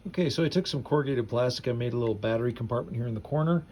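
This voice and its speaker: A man giving a casual, hesitant hands-on walkthrough of a DIY project, explaining it off the cuff with frequent pauses. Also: medium pitch. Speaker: DIY builder and host.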